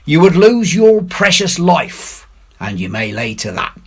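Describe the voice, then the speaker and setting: Storybook villain: ingratiating old sea-rogue played by a male reader, pitched well up, husky, deferential sailor talk. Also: big pitch swings, unhurried, full character voice. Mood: earnest, ingratiating. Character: Long John Silver, wily, ingratiating old pirate. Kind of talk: dramatic storybook character reading.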